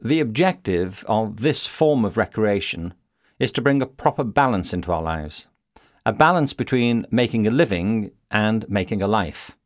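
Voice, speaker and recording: A man narrating a nonfiction audiobook in a measured, steady reading. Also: lowish voice, no room sound, studio quality.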